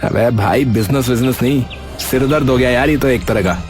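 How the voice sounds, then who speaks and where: A male actor plays an indignant young village son in a cartoon tale. He complains and stands his ground, thoroughly fed up. Music plays clearly behind the voice. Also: mid-range voice, brisk, lightly acted. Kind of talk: Hindi cartoon story dialogue.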